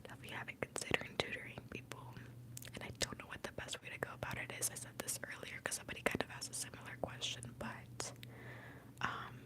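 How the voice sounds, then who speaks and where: Young woman whispering closely, native Spanish speaker. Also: rough recording. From born in Mexico, moved to the US as a young child. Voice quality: breathy whisper. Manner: soft, unhurried, close to the microphone. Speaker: ASMR live streamer. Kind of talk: ASMR whispering, casual rambling and answering chat. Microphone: close, highly sensitive microphone.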